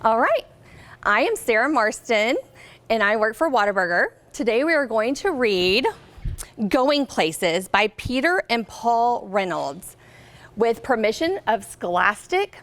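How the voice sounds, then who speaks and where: A high-voiced American woman reads a picture book aloud to children. Her intonation is very lively, and she often turns to her young listeners with questions. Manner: expressive, pausing to ask listeners questions. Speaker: guest reader, company representative.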